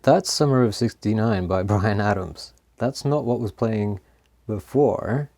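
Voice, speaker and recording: A man casually talking through a tape machine repair, laughing often and pausing with lots of ums. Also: lowish voice, warm tone, slight background noise. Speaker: host of an electronics repair channel. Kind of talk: casual hands-on commentary.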